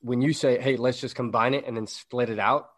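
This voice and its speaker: Earnest young man, mid-pitched. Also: fairly even intonation, brisk, a bit bright. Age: 20s. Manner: earnest, repeats words, casual swearing. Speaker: podcast co-host. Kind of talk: casual chat.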